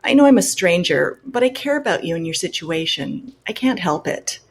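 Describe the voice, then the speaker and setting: A woman hosting a show, reading letters aloud in an earnest, measured way and adding pointed comments of her own. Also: lowish voice, big pitch swings, breathy edge.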